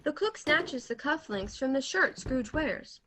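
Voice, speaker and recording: A woman reads scripted narration for a radio play. Her voice is on the high side, and she sets out each scene as the narrator, recorded at home over a call. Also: animated, a little slow, pushed and bright, a little hiss or hum. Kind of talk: scripted narration of stage directions.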